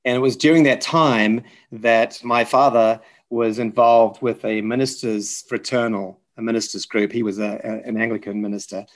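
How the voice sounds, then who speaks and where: An older man with a mixed South African and New Zealand accent, telling personal stories reflectively as an interview guest, in a halting, hesitant way. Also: mid-range voice, steady tone. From South Africa, later New Zealand. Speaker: podcast guest, physician and chaplain.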